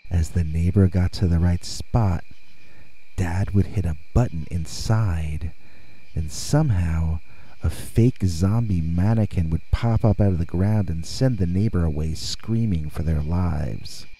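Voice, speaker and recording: A man with a low, husky voice narrates a scary first-person tale. His tone is very dark and soft-edged. He reads in a measured way, leaving dramatic pauses between phrases. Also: low-quality recording. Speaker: narrator and reader of scary stories. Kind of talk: scripted first-person horror storytelling.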